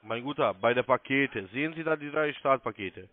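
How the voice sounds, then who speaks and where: A man's voice: medium pitch, fairly quick, no room sound. Insistent man on a muffled phone line. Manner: insistent, repeats himself. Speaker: call centre sales agent. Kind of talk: phone sales instructions. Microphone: telephone call.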